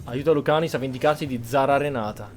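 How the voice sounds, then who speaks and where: A man's voice: medium pitch, rapid-fire, slight background noise. A man giving in-character role-play commentary over a video game. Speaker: gamer playing in character.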